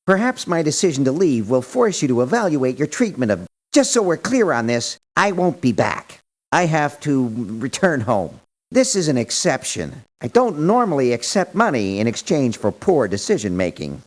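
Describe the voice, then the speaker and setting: A man's voice: medium pitch, sing-song, normal speed. An actor playing a prim, principled male mercenary in a video game, speaking in formal, precise, politely reproachful sentences. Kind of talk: video game character voice lines.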